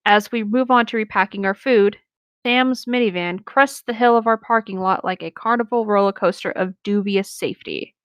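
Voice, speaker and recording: A woman with a mid-pitched voice reads a story aloud. She mixes narration with short, casual banter. The recording is very dry and close, with no room sound. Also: normal speed, smooth, no room sound. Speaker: co-host reading stories aloud.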